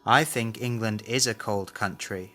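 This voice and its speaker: A man's voice: fairly low pitch, a little slow, bright, forceful tone. A man reading a scripted language lesson, carefully enunciating model words and sentences. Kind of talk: scripted language drill, read aloud.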